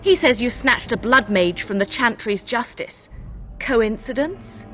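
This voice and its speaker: A woman's voice: on the high side, expressive, a little slow, a bit bright, soft background music. An actress playing a haughty, accusing noblewoman in a fantasy game. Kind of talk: dramatic fantasy game acting.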